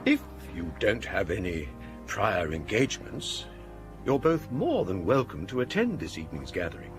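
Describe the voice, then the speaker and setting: An actor playing a genial middle-aged Edwardian Cambridge scholar in a radio drama, speaking in a formal storyteller's manner with an English accent. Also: male voice, on the low side, big pitch swings, over music. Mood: courteous, dry. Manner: formal, measured, theatrical storyteller.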